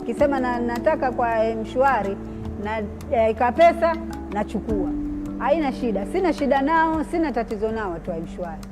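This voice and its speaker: A small business owner speaks plainly and candidly in a documentary interview. Her voice is fairly high for a woman, and clear instrumental music runs underneath.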